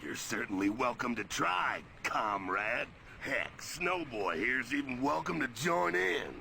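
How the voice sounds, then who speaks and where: An actor playing a hulking, crude and belligerent brute villain with a gravelly voice in a video game. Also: male voice, mid-range voice, very animated, a little slow, bright, forceful tone, slight background noise, heavily acted. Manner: crude, taunting slang.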